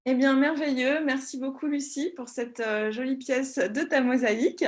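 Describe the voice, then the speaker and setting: A woman hosting an interview, friendly and quick to laugh. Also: high voice, steady tone. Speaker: interviewer, host.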